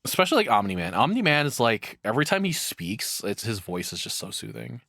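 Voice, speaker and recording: A man's voice: medium pitch, big pitch swings, fairly quick, creaky, a bit bright, no echo, clean studio sound. A man giving casual reaction commentary as a streamer, rambling along and swearing freely.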